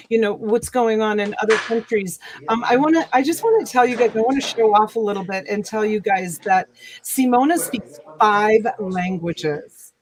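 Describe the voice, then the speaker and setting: A woman hosts a casual live chat with a fairly high voice. She talks in a loose, chatty way, full of fillers and restarts. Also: low-quality recording. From Italy. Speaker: livestream host.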